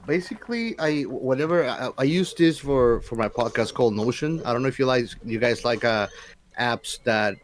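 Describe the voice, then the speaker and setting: Man, podcast guest, casual interview chat, informal explanations, mid-pitched voice. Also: normal speed, slight background noise.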